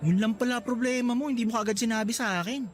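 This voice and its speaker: A man's voice: very high-pitched, fast, faint music behind. An actor playing a cheerful, joking young male coworker in a radio drama, teasing and boasting playfully. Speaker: Lester.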